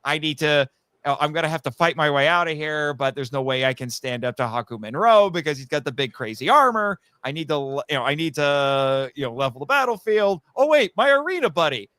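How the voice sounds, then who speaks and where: Bright, clear-voiced man chatting animatedly. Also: fairly high pitch, brisk, no room sound. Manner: animated storyteller, acts out quotes. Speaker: guest, audio drama writer. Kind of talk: casual chat.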